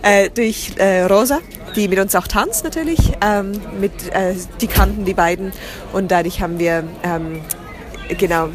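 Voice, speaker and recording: A woman's voice: medium pitch, moderate pace, crowd noise. A woman, chatting in an interview, often restarting and searching for words. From southern Germany. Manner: searching for words, many restarts, drops into English. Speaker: guest, theatre company founder. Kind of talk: casual interview.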